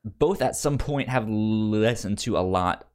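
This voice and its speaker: A man hosting a podcast in casual conversation, halting and stuttering on the first sounds of words, with an enthusiastic manner. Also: on the low side, expressive, darkish tone, smooth. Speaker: podcast review show host.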